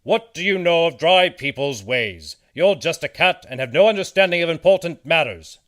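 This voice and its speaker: A man voicing a busy, pompous, dismissive town mayor in a children's storybook, impatient and loudly declaiming. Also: a little high, animated, moderate pace, pitched above the performer's own voice. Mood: haughty, dismissive.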